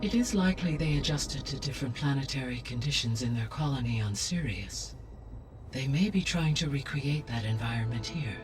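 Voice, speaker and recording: An actor playing a calm, erudite, gentle artificial intelligence in a video game, speaking in a measured, formal and precise way. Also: male voice, mid-range voice, music in the background, close to an everyday voice. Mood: grave, analytical. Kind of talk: scripted video game dialogue.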